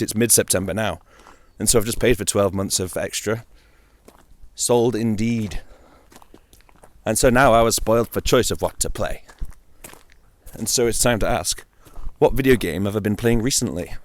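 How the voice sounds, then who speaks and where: A man hosting a gaming audio log, chatting casually and informally on his own, with playful, wry asides. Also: fairly low pitch. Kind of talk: casual solo chat about video games.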